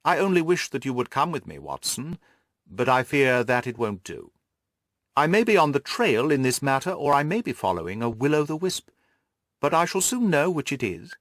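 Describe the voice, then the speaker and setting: A man voicing a brisk, courteous and confident detective with precise, self-assured speech in an audiobook. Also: medium pitch, big pitch swings, fairly bright tone, no room sound, clean studio sound, pitched above the performer's own voice. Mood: keen, casual. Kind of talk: audiobook character dialogue.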